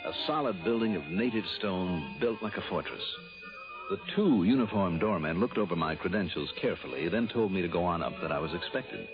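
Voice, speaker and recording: Radio detective: very deep American male, wry and smooth, swinging intonation, muffled old broadcast. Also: animated, brisk, music in the background. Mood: matter-of-fact narrating. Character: wry, smooth male insurance investigator, easily smitten. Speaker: lead actor and narrator. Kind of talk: 1960s radio detective drama acting and narration.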